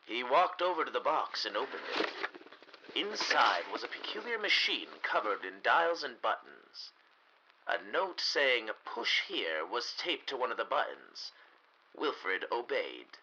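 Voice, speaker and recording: Male narrator, old-radio mystery style, dramatic pauses, animated intonation, medium pitch, noisy recording. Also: dull audio. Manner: dramatic storytelling with deliberate pauses.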